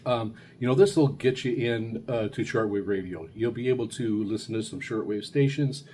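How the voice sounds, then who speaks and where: Low-voiced, mellow man, halting delivery. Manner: halting, rambling, frequent stammered repeats. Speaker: video host reviewing radio gear. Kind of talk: casual unscripted product review. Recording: steady background noise.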